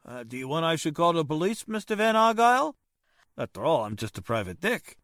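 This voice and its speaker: An actor playing a rough, suspicious private detective with streetwise, ungrammatical talk in a 1940s-style radio drama. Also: male voice, fairly high pitch, very animated, brisk, a bit bright, no echo, studio quality. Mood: blunt. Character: blustering, uneducated male private detective, suspicious tough guy.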